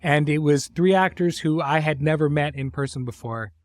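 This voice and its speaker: A man hosting a conversational interview, speaking thoughtfully in phrases broken by pauses and restarts. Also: fairly high pitch, no room sound, studio quality. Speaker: host, series creator.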